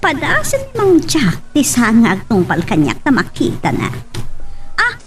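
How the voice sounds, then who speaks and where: A chatty, excitable woman from an Ilocano radio drama, acted by a woman. Her pitch is very high and leaps about freely. She sounds set back a little from the mic. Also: unhurried, recorded from a distance. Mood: animated.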